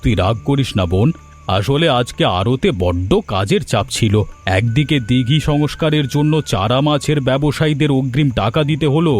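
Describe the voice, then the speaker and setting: A man voices a hardworking elder brother in a family cartoon drama, tired but devoted. His mid-pitched voice is gentle and reassuring, with music behind it. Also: normal speed, natural voice. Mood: earnest.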